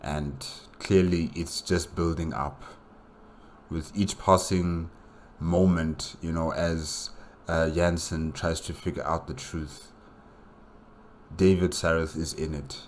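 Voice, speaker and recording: A man hosting a solo reaction video, commenting casually in a musing, halting way. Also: very deep voice, fairly even intonation. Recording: faint background noise.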